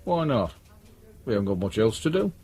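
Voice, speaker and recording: An actor playing a brisk, world-weary British detective sergeant in a radio drama, reassuring but patronising and colloquial. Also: male voice, fairly low pitch, big pitch swings, darkish tone. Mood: wry, offhand. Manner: matter-of-fact, patronising, colloquial.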